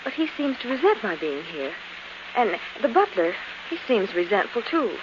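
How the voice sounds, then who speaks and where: An actress playing a polite, uneasy young niece, hesitant and earnest, in a 1940s radio drama. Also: female voice, medium pitch, very animated, moderate pace, lightly acted. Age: young adult. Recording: hissy, muffled 1940s radio broadcast.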